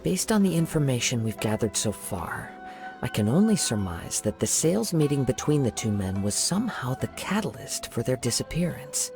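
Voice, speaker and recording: A man voicing a calm young wandering samurai in a video game, speaking in a measured, thoughtful way. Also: mid-range voice, gravelly, over music. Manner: measured, thoughtful and soft-spoken. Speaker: investigating hero.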